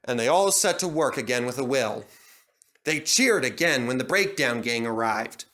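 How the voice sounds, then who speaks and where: A man reading a children's story aloud in dramatic, expressive scripted narration. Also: mid-range voice, moderate pace. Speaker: narrator and reader.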